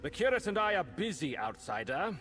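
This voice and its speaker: Pompous, high-pitched male official. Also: big pitch swings, fairly quick, fairly bright tone, a little hiss or hum. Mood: haughty. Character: pompous, self-important male wizard's spokesman and aspiring author. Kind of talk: video game voice acting. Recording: long hall reverb, faint music.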